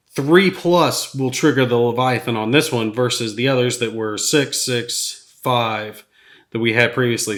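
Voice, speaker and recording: A man with a slightly raspy voice from a cold, thinking aloud as he plays a solo board game. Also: mid-range voice, fairly bright tone. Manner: thinks aloud while rolling dice, chuckles at bad rolls.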